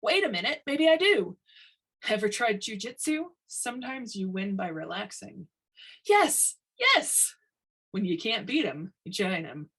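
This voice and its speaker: A harried construction boss read by a woman in a science fiction storybook, stubborn and blunt. He speaks emphatically in a slightly husky voice. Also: mid-range voice, sing-song, unhurried, a bit bright, full character voice. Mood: dawning agreement.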